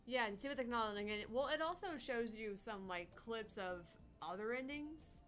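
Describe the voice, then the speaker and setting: A woman's voice: fairly high pitch, expressive, a little fast. Woman chatting casually over game music. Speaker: gaming show co-host. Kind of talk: casual chat commentary.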